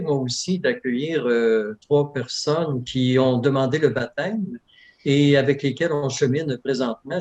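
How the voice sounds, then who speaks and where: A man, a friar gently leading and questioning adult baptism candidates at a church presentation. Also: medium pitch, highs cut off. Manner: gentle, encouraging, with fillers. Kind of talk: welcoming church presentation, interviewing.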